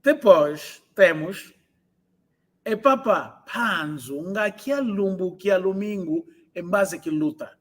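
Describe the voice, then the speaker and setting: Male online language tutor, high register, translates and repeats phrases for students. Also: lively intonation, a bit bright. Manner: repeats phrases slowly for learners. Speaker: language teacher.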